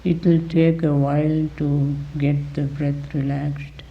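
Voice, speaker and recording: A man with a gravelly voice gives guided meditation instruction as a teacher, deliberately, in short phrases with long pauses, on a dull recording. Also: a little high, flat delivery.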